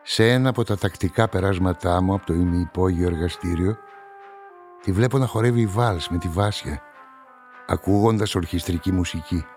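A very low-voiced man narrates a crime drama as a brooding, lovelorn figure , played by an actor. His delivery is measured and reflective, with clear music behind. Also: darkish tone, natural voice. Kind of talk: scripted first-person radio drama narration.

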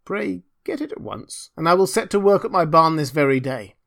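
A pompous, self-righteous storybook miller, acted out by a man. The voice sits high and creaks at the edges, swinging broadly in melody. He draws out sanctimonious phrases, posing as generous while being greedy. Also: lively intonation, moderate pace, a bit bright. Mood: grasping. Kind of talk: storybook character reading.

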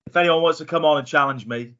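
A man's voice: fairly high pitch, quick pace, sharp tone. A man hosting a casual football podcast, upbeat and competitive, thinking aloud while he guesses. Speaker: podcast host. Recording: dull lo-fi podcast audio.

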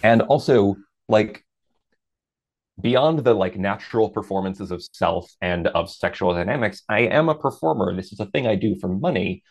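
Deep-voiced guy in his 30s, thoughtful guest, slow and measured with bursts of animated quotes. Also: gravelly. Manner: reflective and measured, lifts into animated quoted asides. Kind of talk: casual interview chat.

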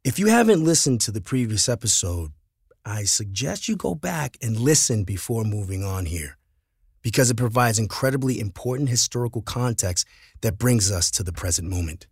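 A man's voice: lowish voice, animated, moderate pace, slightly rough, no echo, clean studio sound. A man hosting a documentary podcast, reading scripted narration.

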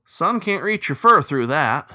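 A man voicing a cheerful, loud male beaver deckhand with a grinning, bellowing manner. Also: a little high, big pitch swings, unhurried, no room sound, clean studio sound, pitched above the performer's own voice, exaggerated voice.

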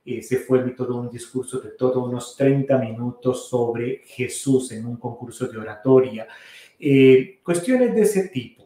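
Priest in a very echoey room. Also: male voice, medium pitch, a little slow. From Costa Rica. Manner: relaxed, rambling, with many fillers and restarts. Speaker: priest and podcast host. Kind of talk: casual call-in podcast answering questions.

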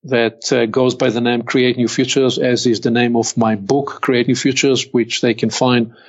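Measured man with a Hebrew accent. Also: medium pitch, little pitch movement, breathy edge, dull audio. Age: older. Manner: measured, deliberate, slows to stress points. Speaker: podcast guest, executive consultant. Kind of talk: reflective interview talk.

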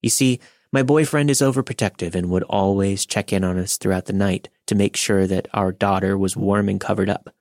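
A man calmly reading a listener's ghost story aloud. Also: low-pitched, fairly quick, hardly any pauses, dry recording, clean studio sound. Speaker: podcast narrator. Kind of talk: scripted narration of a listener's story.

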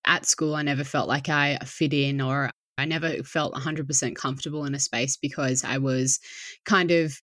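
Aussie woman guest on a podcast, really low voice, reflective, kinda rambly, dry close sound. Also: fairly even intonation, normal speed, fairly bright tone, no echo. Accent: Australian. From Australia, south of Sydney. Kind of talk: casual interview chat.

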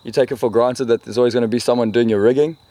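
A man's voice: mid-range voice, fairly even intonation, racing, warm tone, no room sound. A man with a South African accent, chatting casually as an interview guest in a wry, joking manner. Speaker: interview guest, spearfishing guide and retailer. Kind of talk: casual chat.

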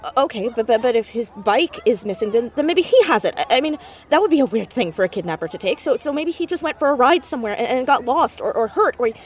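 A woman plays a teenage girl amateur sleuth in an audio drama. She is earnest and stubborn, with a fairly high voice and a wordy delivery that stammers when she gets anxious. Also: lively intonation, quick pace, few pauses, natural voice. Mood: anxious, rambling. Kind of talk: naturalistic audio drama acting.

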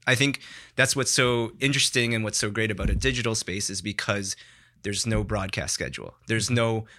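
Bright-voiced casual guy, slightly low. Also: brisk. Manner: informal, thinking aloud with frequent "like". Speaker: podcast guest, digital content creator. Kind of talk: casual chat. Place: podcast studio.

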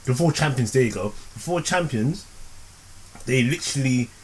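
A man co-hosting a casual podcast, telling stories in an animated way with quick asides. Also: mid-range voice, breathy edge, rough recording. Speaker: podcast co-host.

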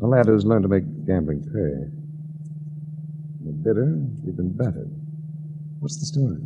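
An actor playing a cool, wry insurance investigator in a 1940s radio drama, with dry, understated, clipped delivery. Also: male voice, sits low, very animated, a little slow, lo-fi sound, background noise, heavily acted. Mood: probing, wry. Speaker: lead actor and narrator. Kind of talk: 1940s radio detective acting.

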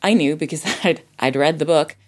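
A woman's voice: deep voice, moderate pace. A woman casually discussing a film, thinking aloud and often correcting herself as she goes.

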